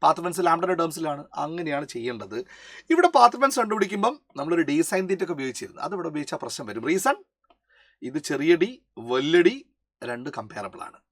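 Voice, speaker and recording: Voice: medium pitch, very animated, fast, fairly bright tone, no echo. Malayali physics teacher, dry close sound. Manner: code-switches into English terms, frequent check questions. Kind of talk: explanatory lesson working through a problem.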